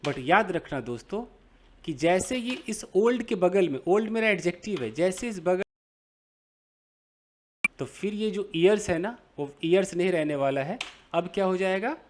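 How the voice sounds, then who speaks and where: A young man with an Indian accent teaching English to beginners, reading English phrases slowly and explaining them with many questions to his viewers. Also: high-pitched, animated, a little hiss or hum. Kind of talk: explaining a newspaper article to beginners.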